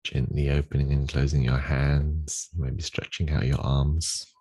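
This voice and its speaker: Very deep-voiced man guiding meditation, unhurried. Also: little pitch movement, husky. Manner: gentle, unhurried instruction with long pauses. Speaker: meditation guide. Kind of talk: guided meditation.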